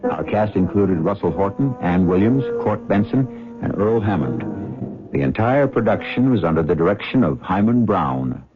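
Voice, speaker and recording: A man hosting a radio mystery drama, giving a solemn, measured moral reflection in theatrical narration. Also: sits low, lively intonation. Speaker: host. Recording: dull old radio broadcast with music.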